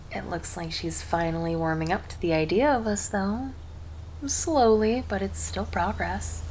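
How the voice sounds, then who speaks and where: A woman's voice: fairly low pitch, slight background noise, close to an everyday voice, poor audio. A woman playing a cheerful, affectionate succubus girlfriend in an ASMR roleplay, doting and teasing as she speaks intimately to her partner. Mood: calm, affectionate. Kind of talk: ASMR roleplay, scripted monologue to the listener.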